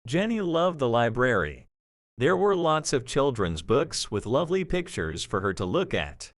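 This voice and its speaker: A man's voice: medium pitch, sing-song, moderate pace, a bit bright, no room sound, studio quality. A man reading a scripted story as the narrator of an audio drama.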